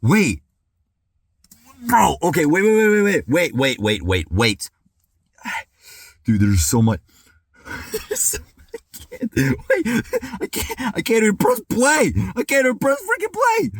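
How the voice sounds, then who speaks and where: Excitable man recovering from a cold. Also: high-pitched, takes it slowly, long pauses, no room sound, clean studio sound. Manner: excitable, says dude and bro a lot. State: recovering from sickness, clears throat. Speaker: music reaction YouTuber. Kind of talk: excited reaction commentary.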